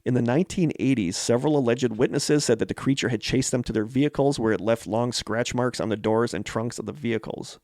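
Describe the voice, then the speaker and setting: A man's voice: medium pitch, brisk, few pauses, darkish tone, dry recording, studio quality. A man from Wisconsin with an American accent, co-hosting a podcast and moving between casual chat and reading out prepared notes. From Wisconsin, United States. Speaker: podcast co-host.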